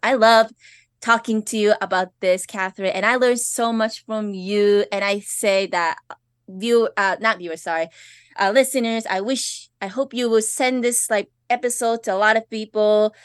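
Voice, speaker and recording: A woman podcast host with a slight Japanese accent, a native Japanese speaker, talking in a chatty, expressive way. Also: medium pitch, moderate pace, smooth, dry recording, studio quality. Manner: warm, chatty, expressive with fillers and laughs. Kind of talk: casual podcast interview.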